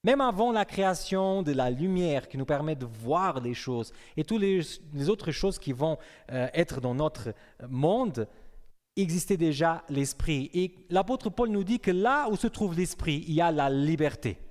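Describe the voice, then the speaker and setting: Young male preacher, fairly high voice, chatty with the congregation, reverberant church hall. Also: animated, a little slow, a little mellow. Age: young adult. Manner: conversational, asks the congregation questions. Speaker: pastor. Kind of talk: sermon.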